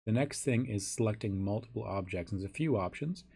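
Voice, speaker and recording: A hoarse, raspy man teaching a software tutorial in a casual way, thinking aloud with ums and restarts. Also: lowish voice, a little fast. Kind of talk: screen-recorded software tutorial.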